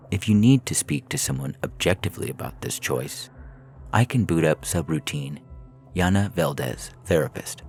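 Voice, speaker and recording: A man reading audio fiction as a calculating artificial intelligence, coolly persuasive and menacing, speaking in a measured, clinical, formal way. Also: extremely low pitch, vocal fry, exaggerated voice. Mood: quietly threatening. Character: calculating prison AI, four years old, coolly persuasive, menacing.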